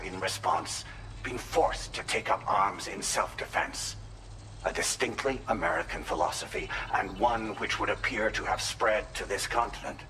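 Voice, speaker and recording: Game cutscene: formal, secretive male adviser, cerebral and measured, low pitch with a forceful, bright edge. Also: a little hiss or hum, poor audio. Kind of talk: scripted video game cutscene monologue.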